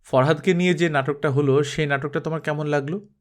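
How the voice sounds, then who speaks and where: A man's voice: a little high, steady tone, natural voice. Man reading as a probing, philosophical film director. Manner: questioning, deliberate. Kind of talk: Bengali audiobook character acting.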